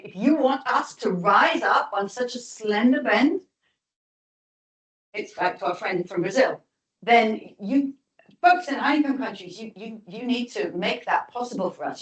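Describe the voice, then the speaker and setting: A woman giving a guest lecture and answering audience questions, thoughtful, often restarting and reframing as she goes. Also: mid-range voice. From United Kingdom. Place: lecture hall. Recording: echoey lecture hall, mic from far off.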